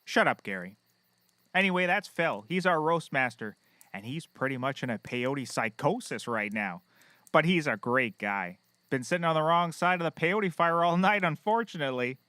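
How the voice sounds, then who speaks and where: Man acting dubious male private eye, audio comedy, folksy, jaunty, bright sharp voice, very animated. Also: on the high side, normal speed, no echo. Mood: breezy, dismissive. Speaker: lead character.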